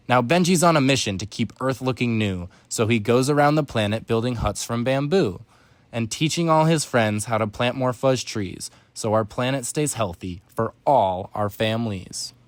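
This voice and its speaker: A man's voice: mid-range voice, no room sound. A man narrating a rhyming children's story as a scripted read-aloud.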